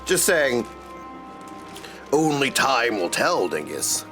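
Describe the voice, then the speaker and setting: A man's voice: mid-range voice, big pitch swings, slow pace, bright, forceful tone. A man chatting casually and goofily with viewers in slangy language while livestreaming a game. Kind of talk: casual livestream chat over gameplay. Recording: music behind the voice. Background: game music.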